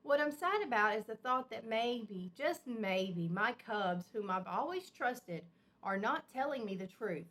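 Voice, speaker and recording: A storybook mama bear, played by a woman reading aloud, with a bright, fairly high voice. She is patient and gently firm, speaking earnestly to her cubs as a hurt but loving parent. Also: lively intonation, measured pace. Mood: sad, patient.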